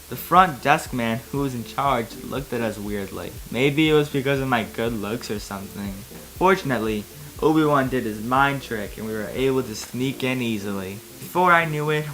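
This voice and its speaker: A man's voice: mid-range voice, expressive, moderate pace, a bit bright, poor audio. A man giving a casual, comedic dramatic reading of fan fiction, breaking off into amused, wry asides. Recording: music behind the voice, fluctuating quality. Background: music.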